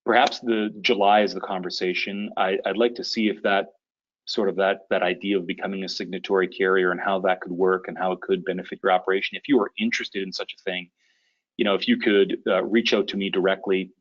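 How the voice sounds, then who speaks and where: A man hosting a business conference call, giving an informal briefing in a conversational, somewhat halting way. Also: low voice, flat delivery, dull audio. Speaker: conference call host, association leader. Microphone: conference call connection.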